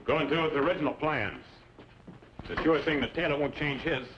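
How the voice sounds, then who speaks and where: A man's voice: fairly high pitch, fairly quick, noisy background, close to an everyday voice. Man playing a wary western settler, muffled recording. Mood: wary, resolved. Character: wary, plain-spoken western settler bracing for trouble. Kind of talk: old-time radio western acting. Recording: muffled old radio recording, music behind.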